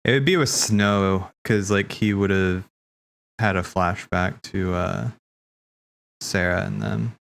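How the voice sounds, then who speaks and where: A man's voice: sits low, fairly even intonation, takes it slowly, vocal fry. A man hosting a casual watch party, rambling and thinking aloud in slangy speech as he comments. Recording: game music playing behind. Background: video game cutscene music.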